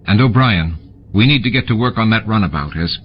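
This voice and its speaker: Casting: male reader as steady, authoritative commander, low voice, careful reasoning; dull lo-fi audio. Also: a little fast, a little hiss or hum, close to an everyday voice. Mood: commanding. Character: composed male station commander, weighing problems carefully. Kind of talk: audiobook character dialogue.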